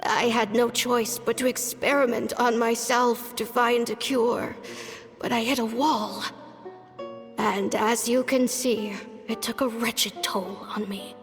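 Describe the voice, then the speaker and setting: A woman's voice: fairly high pitch, fairly even intonation, slow pace. A woman playing an ailing, stubborn elderly doctor and mentor in a video game, weary and solemn, given to sighing. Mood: grave, resigned. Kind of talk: scripted game voice acting. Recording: long reverb, music behind.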